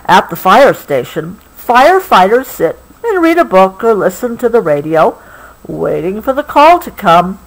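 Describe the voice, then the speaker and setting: An American woman reading a picture book aloud to a child, expressively, pausing patiently to explain things in a casual way. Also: medium pitch, sing-song, rough recording.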